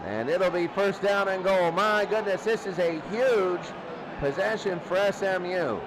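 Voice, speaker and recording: High-pitched male football commentator, noisy recording. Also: measured pace. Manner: excitable, swelling with each play. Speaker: play-by-play sports commentator. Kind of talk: college football radio-style commentary. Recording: noisy recording with loud background hiss.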